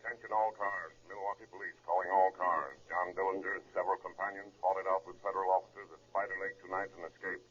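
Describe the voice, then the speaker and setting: An actor playing a brisk, official police dispatcher reading a clipped, impersonal bulletin in a 1930s radio drama. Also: male voice, deep voice, level tone, a bit bright. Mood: matter-of-fact. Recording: muffled 1930s radio recording.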